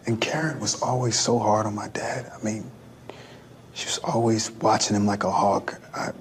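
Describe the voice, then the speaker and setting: An actor playing a troubled grown son in a screen drama, speaking in a halting, subdued manner. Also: male voice, on the low side, steady tone, slight background noise, lightly acted. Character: troubled, hesitant grown son recalling his father.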